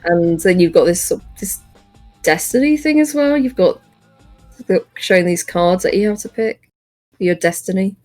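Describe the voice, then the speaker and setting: A woman's voice: lowish voice, normal speed, darkish tone, soft background music. A woman with a British accent co-hosting a podcast, chatting casually and appreciatively about video games. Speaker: podcast co-host. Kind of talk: casual video game discussion.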